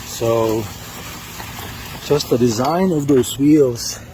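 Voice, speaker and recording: A man with a heavy Czech accent filming himself outdoors on a camera mic, talking through a product test in a casual vlog, with a halting delivery full of fillers and restarts. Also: medium pitch, warm tone, poor audio. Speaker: product tester. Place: outdoors on trails and beach. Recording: outdoor noise.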